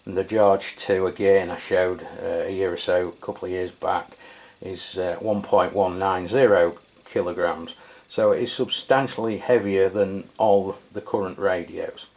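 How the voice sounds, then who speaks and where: Low-voiced man reviewing a radio. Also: measured pace. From England. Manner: relaxed and chatty, with frequent fillers and self-corrections. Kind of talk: casual product review walkthrough.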